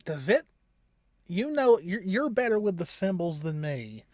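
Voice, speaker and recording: Higher-pitched man giving casual reaction commentary. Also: big pitch swings, unhurried, darkish tone, dry recording, clean studio sound. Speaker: reaction show host.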